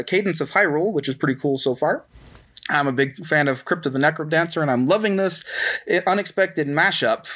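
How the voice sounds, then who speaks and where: A man's voice: medium pitch, a bit bright. A man hosting a casual podcast, talking in a rambling, self-deprecating, dryly joking way. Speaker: podcast host at a game merchandise company. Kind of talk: casual chat, self-introduction.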